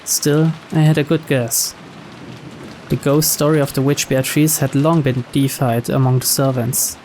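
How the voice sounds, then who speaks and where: A man's voice: mid-range voice, little pitch movement, a little slow, darkish tone, a little hiss or hum, poor audio. A man reading scripted first-person narration from a mystery visual novel. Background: music and rain.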